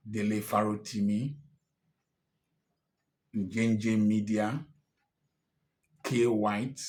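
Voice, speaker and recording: A man in his sixties with a Nigerian accent, giving an impassioned political address, emphatic and defiant. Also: fairly low pitch. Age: 60s. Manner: emphatic and defiant, rising to angry outbursts. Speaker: commentator.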